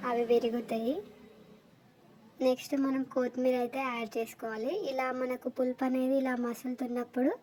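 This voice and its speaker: A woman's voice: high-pitched, darkish tone, slight background noise, poor audio. A woman narrating a recipe voice-over step by step in an explanatory way. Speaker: cooking vlogger.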